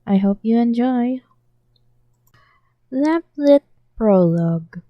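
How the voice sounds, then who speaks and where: A woman's voice: fairly high pitch, mellow, dry recording. A woman reading a fanfiction story aloud as an audiobook, earnest, with occasional small stumbles and self-corrections. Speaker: podfic reader.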